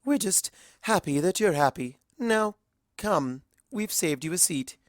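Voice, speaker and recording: A woman's voice: on the low side, expressive, measured pace, no room sound, clean studio sound, pitched above the performer's own voice. A ladylike cartoon unicorn mare, voiced by a woman reader. She speaks in a raised, lilting register, genteel and effusive, full of romance. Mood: pleased.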